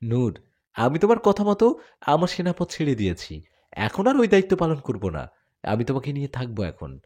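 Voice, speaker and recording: A man's voice: mid-range voice, wildly swooping pitch, normal speed, darkish tone, pitched above the performer's own voice. A man doing an earnest lovesick jinn warrior. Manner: earnest, pleading. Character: devoted young male jinn warrior, lovesick.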